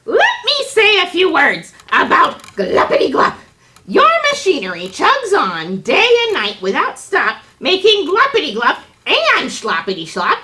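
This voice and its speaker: Puppet-show forest creature: old, grumpy, scolding, very high voice, slow and emphatic rhyming delivery. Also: female voice, wildly swooping pitch, pushed and bright, heavily acted. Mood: indignant, scolding. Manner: emphatic, stammering, indignant delivery in rhyme. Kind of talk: children's puppet show storytelling.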